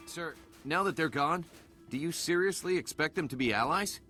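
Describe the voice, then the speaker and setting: An actor playing a wary, suspicious army sergeant in a video game, with a blunt, familiar manner. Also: male voice, on the high side, animated, moderate pace, pushed and bright, soft background music. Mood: suspicious, grudging.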